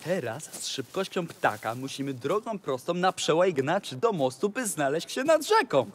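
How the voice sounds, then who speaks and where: A man's voice: a little high, big pitch swings, moderate pace, slight background noise. A man playing a scheming, bossy talking cat in a rhymed fairy-tale radio play, declaiming urgently. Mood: urgent, commanding. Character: bossy, scheming, theatrical talking tomcat in boots.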